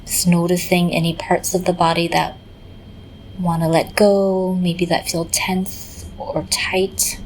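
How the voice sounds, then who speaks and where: Slow, low-toned woman guiding meditation. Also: level tone, slight background noise, low-quality recording. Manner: gentle, unhurried, pausing mid-phrase. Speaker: meditation teacher. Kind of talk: guided meditation narration.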